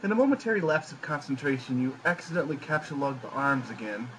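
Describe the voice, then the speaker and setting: A man's voice: medium pitch, animated, quick pace, noisy background. A man reading scripted narration in a wry, theatrical storytelling way on an amateur home recording. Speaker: narrator reading a webcomic aloud.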